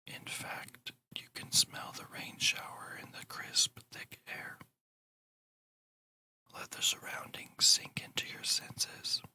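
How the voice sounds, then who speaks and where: Casting: ASMR meditation guide who whispers throughout, crisp and breathy, with slow, deliberate, soothing delivery. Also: pushed and bright. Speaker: ASMR channel host and meditation narrator.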